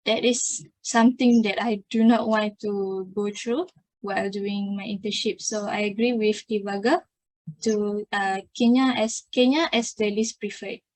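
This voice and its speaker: A young woman, mid-pitched and plain-spoken, states her view in a group discussion. The sound is dry and close. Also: fairly even intonation, measured pace, a little mellow, no room sound, rough recording.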